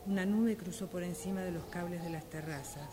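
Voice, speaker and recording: High-voiced man narrating over noise. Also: fairly quick. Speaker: radio drama workshop participant. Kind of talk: scripted narration exercise. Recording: clear background noise.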